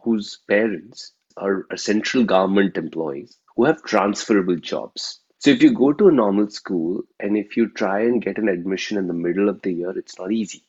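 A man's voice: on the low side, normal speed, few pauses, darkish tone, husky, dull audio. A man with an Indian accent, a native Telugu speaker, reflectively telling his own story as an interview guest and often tagging his points with a questioning "right?".

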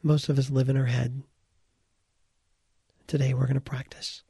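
A man leading a guided meditation as its teacher, speaking in short, deliberate phrases with pauses and a gravelly, husky voice. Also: mid-range voice, flat delivery, dark tone, no echo, clean studio sound.

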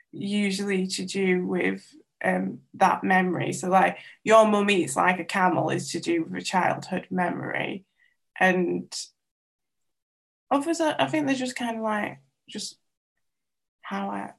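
A young woman talks about her work as an interview guest. She speaks thoughtfully and hesitantly, pausing on fillers as she gathers her words. Her voice sits at a middle pitch. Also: gravelly, a bit bright. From Wales. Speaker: artist guest. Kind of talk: casual interview answers.

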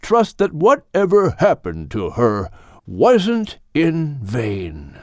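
A man voicing a wise, gentle older male animal mentor in an audiobook, speaking in a measured, firm but kindly way. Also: fairly high pitch, huge pitch swings, no room sound, clean studio sound, voice pushed up, heavily acted. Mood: firm, instructive. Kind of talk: audiobook character voice.

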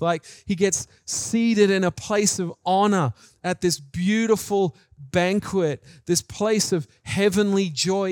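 A middle-aged man with an Australian accent preaches in an animated, conversational way, telling personal stories in colloquial language. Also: high voice, no echo. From New Zealand and Australia.

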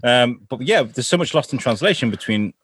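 A man's voice: medium pitch, expressive, brisk, a bit bright, husky, dry recording, studio quality. A man hosting a podcast, talking eagerly and rambling a little in casual chat. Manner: enthusiastic, rambling. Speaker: podcast host.

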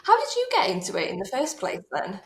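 A woman's voice: mid-range voice, huge pitch swings, a little fast, roomy sound. A woman hosting a podcast interview, reading a friendly scripted opening and asking questions. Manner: friendly, scripted opening then short reactions. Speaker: podcast host.

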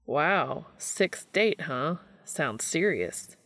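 Woman reading as a cheeky, creaky-voiced geeky guy. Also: low-pitched, animated, very slow, a bit bright, no room sound, exaggerated voice. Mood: flirty. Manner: casual, slangy, teasing.

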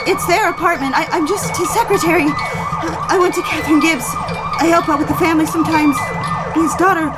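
An actress playing a frantic, devoted young secretary in an audio drama, pleading in halting, breathless phrases. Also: female voice, very high voice, very noisy. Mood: distraught.

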